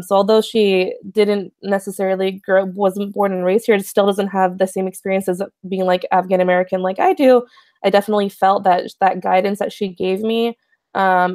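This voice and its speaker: Young woman panelist, relaxed chat, filler-heavy, dry close sound. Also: medium pitch, no room sound. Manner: soft-spoken, many fillers. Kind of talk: casual panel discussion.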